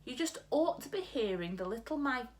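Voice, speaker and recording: A woman's voice: a little high, sing-song, normal speed, pitched above the performer's own voice. A woman voicing a kindly, earnest big friendly giant who talks in quirky broken grammar.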